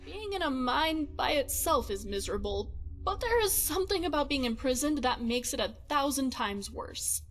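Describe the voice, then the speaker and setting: Fantasy game companion , female actor: young, high-pitched, very expressive, wordy, wry, excitable. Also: normal speed. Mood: anxious, sad. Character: excitable, quirky young female adventuring companion, fretful and chatty.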